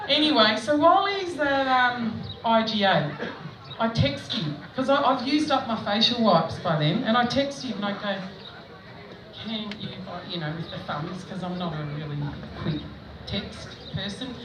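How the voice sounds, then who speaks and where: A woman's voice: on the high side, lively intonation, measured pace. A middle-aged Australian woman doing stand-up, telling self-mocking stories in a chatty way with frequent laughter, recorded at an outdoor festival stage. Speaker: stand-up comedian. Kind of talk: stand-up comedy set. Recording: echoey, distant mic with background noise.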